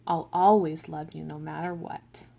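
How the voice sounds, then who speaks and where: A woman reading the lines of a large, loving parent figure in a picture book, slowly and gently. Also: deep voice, fairly even intonation, gravelly, pitched below the performer's own voice, full character voice. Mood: reassuring. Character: large loving parent creature, patient and reassuring.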